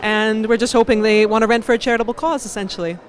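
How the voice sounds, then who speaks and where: A woman, a refugee volunteer giving brisk, informative interview answers. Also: a little high, fairly even intonation. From Canada.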